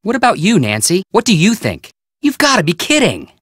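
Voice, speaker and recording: An actor voicing a hot-headed, sulky teenage boy detective in a video game, excitable and sarcastic, with an American accent. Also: high-pitched, fairly quick, slightly rough, no room sound, studio quality, heavily acted. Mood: indignant, sulky. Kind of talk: video game character acting.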